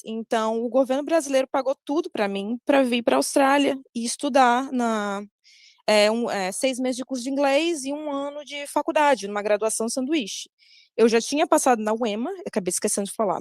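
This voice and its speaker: A young woman from Brazil with a Brazilian Portuguese accent, her voice sitting a little high. She speaks casually over a video call, pausing often and correcting herself as she goes. The sound is dry and close, with no room echo. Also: a little mellow. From Brazil, Maranhão. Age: young adult. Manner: hesitant, many fillers and self-corrections. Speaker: guest speaker giving advice to students.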